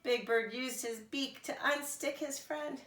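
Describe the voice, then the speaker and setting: A woman, a nursery school teacher, reading a children's storybook aloud with expressive, animated delivery. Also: high voice, measured pace, reverberant.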